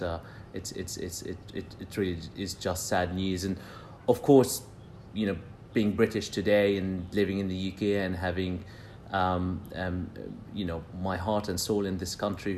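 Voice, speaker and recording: Low-voiced Palestinian man, halting podcast guest. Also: a little mellow, a little hiss or hum. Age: 30s. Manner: hesitant, many fillers and restarts. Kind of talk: casual podcast interview.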